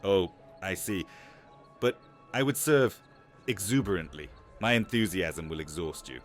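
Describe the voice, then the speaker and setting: A man's voice: sits low, lively intonation, unhurried, soft background music. A man playing an eager older alchemist in an audio drama, theatrical, florid and ingratiating. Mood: pleading, grandiose. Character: eager older alchemist begging to become a vampire. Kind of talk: audio drama roleplay acting.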